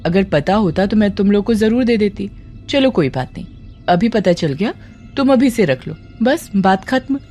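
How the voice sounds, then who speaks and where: A woman's voice: medium pitch, lively intonation, moderate pace, dark tone, faint music behind, close to an everyday voice. A woman voicing an evasive young woman who feigns innocence in an audio horror drama, breezy and defensive in manner. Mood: innocent, defensive. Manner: nervous when cornered, airily dismissive, over-explaining.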